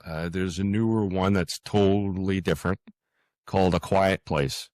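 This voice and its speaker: A man with a low, deep voice chats as a guest in an interview. He speaks haltingly, pausing and doubling back on his words. The sound is close and dry. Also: steady tone, a little mellow, no echo. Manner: hesitant, halting, with frequent pauses and repeated words. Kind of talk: casual interview conversation.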